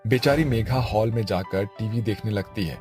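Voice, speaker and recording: A man's voice: fairly low pitch, normal speed, darkish tone, faint music behind. A man delivering scripted narration for a family cartoon story.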